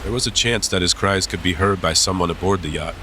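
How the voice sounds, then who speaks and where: A man with a deep voice delivers scripted dramatic narration. He is measured and suspenseful, with clearly audible sound-effect ambience running behind him. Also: pushed and bright, slight background noise.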